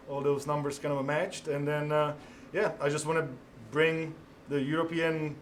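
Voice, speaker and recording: A man's voice: a little high, slight background noise. A middle-aged man from the Czech Republic with a Czech accent, a restaurant owner talking casually in an interview with many fillers. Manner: halting, many fillers, laughs easily.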